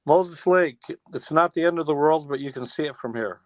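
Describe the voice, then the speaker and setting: A man's voice: a little high, moderate pace, no echo. An American man in his sixties chatting casually as an interview guest, folksy and rambling, with trailing restarts. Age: 60s. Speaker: interview guest, teacher and former air traffic controller. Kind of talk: casual phone interview, reminiscing. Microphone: telephone. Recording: dull, lo-fi phone line.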